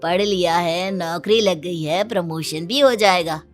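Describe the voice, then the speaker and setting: A woman's voice: on the low side, unhurried, faint music behind, lightly acted. A woman voicing a smug, taunting neighbour man, a matchmaking father, in an exaggerated comic story style.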